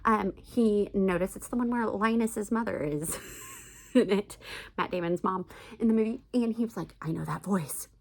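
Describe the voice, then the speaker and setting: A woman's voice: mid-range voice, normal speed, gravelly, a little hiss or hum. A middle-aged American woman chatting casually and emphatically as a video blogger giving recommendations. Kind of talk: casual chat to camera, recommendations.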